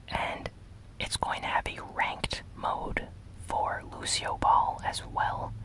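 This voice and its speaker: An ASMR host giving a gaming news recap in a breathy, unvoiced whisper with soft, deliberate pauses. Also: poor audio.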